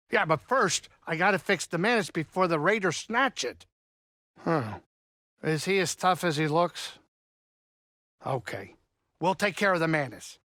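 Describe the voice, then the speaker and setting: A man voicing a gruff but caring small alien saloon keeper in a video game, with a blustery, folksy, wisecracking delivery. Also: high voice, bright, forceful tone, no echo, studio quality, heavily acted. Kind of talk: video game character dialogue.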